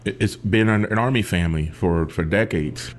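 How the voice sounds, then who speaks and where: Deep voiced guy in his 50s from puerto rico, halting interview answers. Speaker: interviewee, retired infantry officer.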